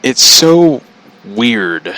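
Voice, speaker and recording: A man's voice: mid-range voice, very animated, extremely slow, bright, forceful tone, rough recording. A man telling stories in a casual, rambling, foul-mouthed way as a podcast host, incredulous and repeating himself for emphasis.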